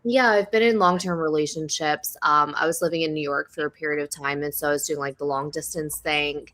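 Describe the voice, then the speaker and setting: A woman in her thirties talks earnestly on a panel, leaning on "like" as she thinks aloud. Her voice is low for a woman yet bright and forward. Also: steady tone, brisk. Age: 30s. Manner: earnest, filler-heavy with "like". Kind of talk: casual panel chat.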